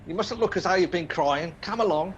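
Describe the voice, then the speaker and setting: Noisy recording, man playing a high-voiced detective sergeant. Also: brisk, muffled recording, lightly acted. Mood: hushed, hurried. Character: solicitous young male detective sergeant, furtively protective. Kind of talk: live radio play acting.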